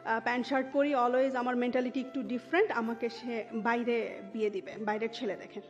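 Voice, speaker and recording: A woman's voice: a little high, steady tone, fairly quick. A woman in her thirties with a Dhaka Bangladeshi accent tells her personal life story as an interview guest, dropping English words into her speech. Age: 30s. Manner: mixes English words into Bengali. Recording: dull muffled sound with music underneath. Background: music.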